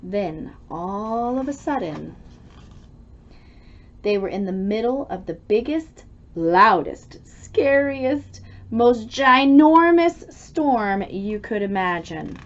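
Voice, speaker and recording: A woman reading a children's storybook aloud expressively, with dramatic, deliberate emphasis. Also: medium pitch, highs cut off.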